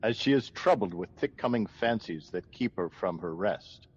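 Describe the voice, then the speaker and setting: A man plays a grave court doctor in a Shakespearean radio play. He is measured and deferential, and the sound is dull with faint noise. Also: lowish voice, lively intonation, natural voice. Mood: grave, careful. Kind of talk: Shakespearean audio drama acting.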